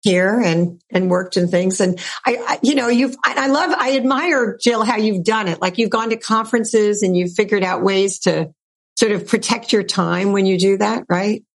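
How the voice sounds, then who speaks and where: A woman's voice: mid-range voice, moderate pace. A woman hosting an interview, asking long, rambling questions in a chatty, friendly way. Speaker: podcast host. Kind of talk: casual interview chat.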